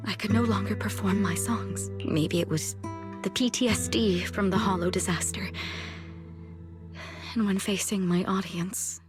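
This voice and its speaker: A woman playing a gentle, self-reproaching retired singer in a video game, earnest and hesitant. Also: mid-range voice, natural voice.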